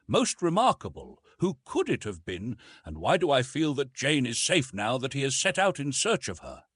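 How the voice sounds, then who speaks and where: A man's voice: medium pitch, wildly swooping pitch, moderate pace, fairly bright tone, slightly rough, no echo, clean studio sound, full character voice. A man voicing an astonished, bookish elderly professor who exclaims his way through an audiobook story.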